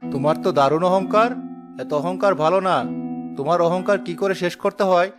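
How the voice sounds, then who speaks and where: A man's voice: sits high, a little fast, over music, natural voice. A man playing a cocky, idle young son of a village chief in a cartoon, boastful and pestering. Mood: arrogant. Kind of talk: Bengali cartoon voice acting.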